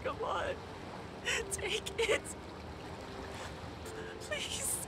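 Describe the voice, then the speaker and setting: A devoted young daughter in a video game drama. Her high voice is earnest, pleading and distraught, coming out very slowly. Lapping water and boat noise sit clearly behind her. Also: animated, exaggerated voice. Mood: anxious, pleading.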